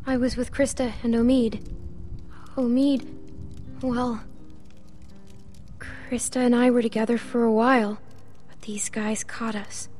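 A woman's voice: fairly high pitch, little pitch movement, measured pace, pauses often, a little mellow, soft background music, natural voice. A woman voicing a guarded, weary young girl in a video game, with a terse, subdued delivery. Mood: sad. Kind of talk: naturalistic video game voice acting.

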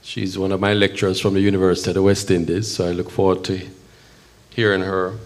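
A middle-aged man with a Jamaican accent giving a measured, emphatic public speech at a podium microphone in an event hall. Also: sits low, little pitch movement. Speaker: consul general, guest speaker at podium. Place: event hall, at a podium before a seated audience.

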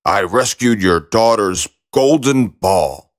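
Man voicing a croaking, low-pitched storybook frog. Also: animated. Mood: insistent. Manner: formal, measured bargaining. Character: slimy warty male frog, polite but insistent. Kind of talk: storybook character voice.

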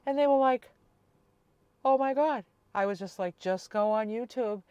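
A woman in her sixties chatting casually to camera as a vlogger, telling everyday stories in a chatty, animated way. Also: a little high, normal speed, clean tone, dry recording, dull audio. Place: inside a small camper van.

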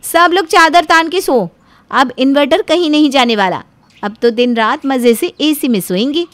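A woman voicing a cheeky, outspoken younger daughter-in-law in an animated family story, with a sassy, complaining manner. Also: high voice, normal speed, no room sound. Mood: breezy, cheeky.

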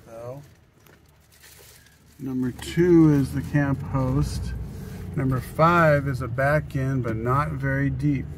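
A man in his fifties, a travel vlogger, giving a plain, matter-of-fact narration. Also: medium pitch. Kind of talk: casual campground tour narration. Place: moving vehicle. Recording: faint car noise behind.

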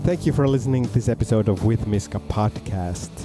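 A man's voice: fairly low pitch, a little fast, over loud music. A Finnish man hosting a casual podcast over a video call, friendly and upbeat. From Finland. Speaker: podcast host. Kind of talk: casual chat.

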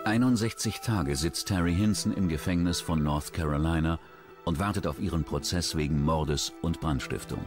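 Ein Mann spricht als Erzähler eine Dokumentation, ruhig und sachlich vorgetragen. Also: male voice, low voice. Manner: measured, sober narrating. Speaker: documentary narrator. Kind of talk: scripted documentary narration. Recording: voice-over with music underneath.

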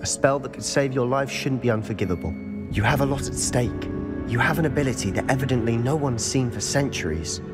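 An actor playing a secretive, reckless teenage wizard student in a fantasy game, earnest and intense. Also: male voice, mid-range voice, expressive, a little fast, loud music behind the voice, lightly acted. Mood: persuasive, intense. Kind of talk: scripted fantasy game acting.

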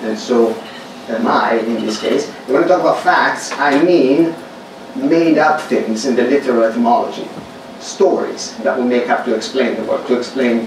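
A man from Greece with a Greek accent, giving a thoughtful academic lecture with many pauses and fillers, recorded in a large lecture hall from a distant mic. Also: medium pitch. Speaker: workshop speaker. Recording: echoey hall recorded from far off, noisy.

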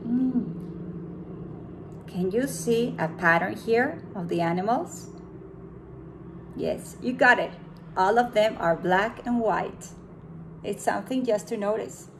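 A woman's voice: medium pitch, a little hiss or hum, rough recording. A woman in her thirties, a pre-kindergarten teacher, reading a picture book aloud at home, with gasps and questions for young children. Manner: slow, expressive storybook reading with gasps and questions to kids. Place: room at home with window blinds.

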